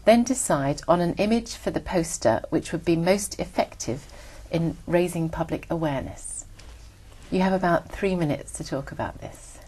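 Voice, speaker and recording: A woman examiner reading out formal instructions in a measured, steady way. Her voice sits a little low for a woman. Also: slightly rough. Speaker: exam examiner. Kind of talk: scripted exam prompts.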